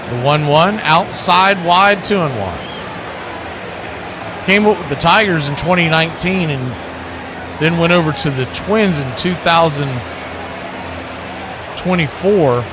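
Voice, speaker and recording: High-voiced man calling baseball over background noise. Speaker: baseball play-by-play announcer. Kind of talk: live play-by-play commentary.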